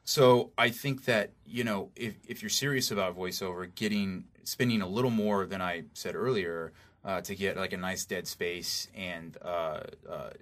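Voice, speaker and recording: A man's voice: low voice, moderate pace, a bit bright. A man speaking as an interview guest and recording engineer, explaining practical advice in an informal, casual way.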